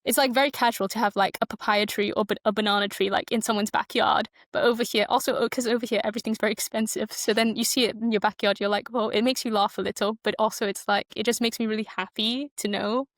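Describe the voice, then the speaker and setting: A woman's voice: mid-range voice, steady tone, quick pace, hardly any pauses, dry recording, clean studio sound. A young woman from Malaysia who moved to the UK as a child, speaking as a podcast guest in a casual, reflective, loosely structured way. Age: young adult. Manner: informal, loosely structured, thinking aloud.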